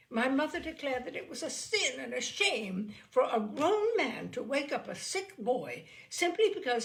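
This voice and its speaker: A woman narrates a humorous short story with theatrical emphasis. Her pitch moves in a lively way across each phrase, and her voice has a creaky, gravelly edge.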